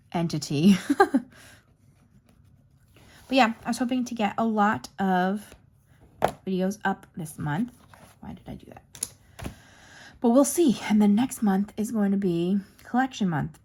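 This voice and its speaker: A young woman chatting casually as she colors in a craft vlog, her voice going a bit hoarse. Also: medium pitch, expressive, slow, long pauses, darkish tone. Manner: rambling, chatty, narrates while coloring. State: losing her voice. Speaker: craft YouTuber.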